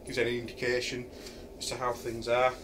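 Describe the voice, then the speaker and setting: A man's voice: mid-range voice, monotone, brisk, background noise. A middle-aged man with a Yorkshire accent casually reviewing a camping chair in his kitchen, hesitating and thinking aloud with many ums. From Yorkshire, England. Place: home kitchen, indoors. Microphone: camera a few feet away.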